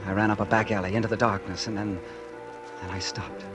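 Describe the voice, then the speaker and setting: An actor playing a bitter, vengeful ex-convict, narrating and speaking in a hard-boiled, brooding 1940s radio drama style. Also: male voice, lowish voice, little pitch movement, a little fast, close to an everyday voice. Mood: brooding, haunted. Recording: old radio broadcast with loud music behind.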